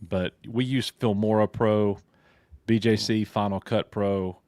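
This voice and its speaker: A man from Alabama, co-hosting a casual livestream chat, excitable and quick to laugh over a video call. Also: on the low side, no echo, studio quality. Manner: excited, laughing, restarts his phrases. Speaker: co-host.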